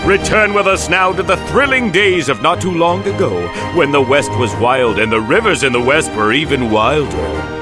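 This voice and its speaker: An actor playing a grandiose announcer narrating an old-time Western radio serial with theatrical, drawn-out emphasis. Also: male voice, on the high side, animated, lightly acted. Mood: grand, heroic. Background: music.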